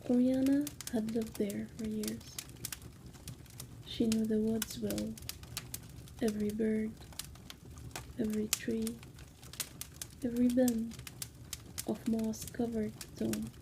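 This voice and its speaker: A woman whispering an ASMR bedtime story in a hushed, soothing manner, with long pauses between phrases. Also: on the high side, level tone, noisy background.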